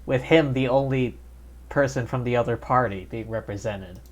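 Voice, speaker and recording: A man's voice: mid-range voice, normal speed. A man streaming a game, making wry, joking commentary over the cutscene dialogue with faint game music behind. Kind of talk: casual let's play commentary.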